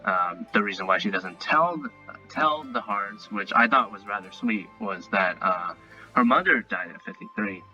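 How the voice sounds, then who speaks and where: A man giving a casual, unscripted review and recap, rambling and halting as he repeats himself. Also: on the low side, lively intonation, pushed and bright. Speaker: online cartoon reviewer. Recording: dull lo-fi audio, music bed. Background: faint music.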